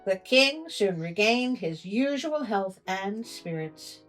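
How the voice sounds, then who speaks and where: A woman's voice: mid-range voice, very animated, slow, over music. A woman storyteller reading a tale aloud in a theatrical, tongue-in-cheek way, as a show host would. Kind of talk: storybook reading with comic asides.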